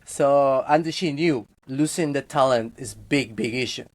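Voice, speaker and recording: A man's voice: medium pitch, unhurried. Guy in his 30s, rambly and candid, laughs a lot. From Guatemala. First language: Spanish. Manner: candid, rambling, laughs often, frequent restarts. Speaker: guest. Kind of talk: casual interview chat.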